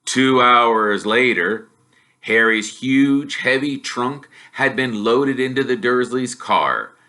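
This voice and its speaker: Male storybook reader, expressive narration, very bright tone, animated pitch movement.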